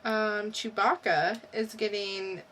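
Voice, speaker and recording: A woman's voice: medium pitch, steady tone, slow pace, a bit bright, slight background noise, low-quality recording. A woman co-hosting a podcast in a casual, conversational style. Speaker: podcast co-host. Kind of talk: casual chat.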